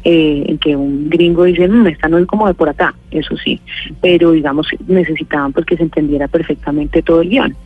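A woman's voice: low voice, fairly quick, breathy edge, dry recording. A woman with a Colombian accent, giving a phone interview as an actress and talking through her answers with many fillers and restarts. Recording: tinny phone line, dry.

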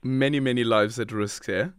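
A man's voice: mid-range voice, moderate pace, smooth, no echo. South African male interviewer, dry close sound. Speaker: interviewer, host. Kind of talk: conversational broadcast interview questions.

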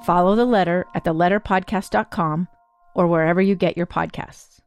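A woman reading scripted ad narration in a measured, serious way. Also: fairly low pitch, fairly even intonation, clean tone, no room sound. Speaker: podcast host in an ad. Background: music.

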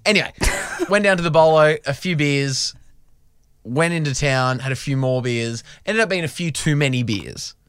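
A man's voice: on the high side, moderate pace, clear, resonant tone, dry recording, studio quality. A young Australian man co-hosting a radio show, telling embarrassing stories with self-mocking humor. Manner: self-mocking storyteller, animated. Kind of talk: casual radio banter. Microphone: studio microphone.

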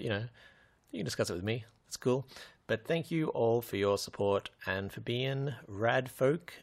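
An Australian man co-hosting a podcast, talking casually with a dry, teasing manner. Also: medium pitch. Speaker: podcast co-host.

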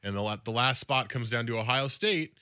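A man co-hosting a sports radio show, talking college football in quick, casual conversation with a lot of filler words. His voice is slightly raspy from a recent illness. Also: medium pitch, pushed and bright, no echo, studio quality. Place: radio studio.

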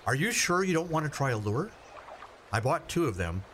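A man's voice: a little high, sing-song, normal speed, a bit bright. A man playing an awkward, well-meaning family fisherman in a radio play, hesitant and plainspoken, over noisy ambience. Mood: awkward, trying. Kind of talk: naturalistic radio play acting. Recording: noisy ambience with vehicle sounds.

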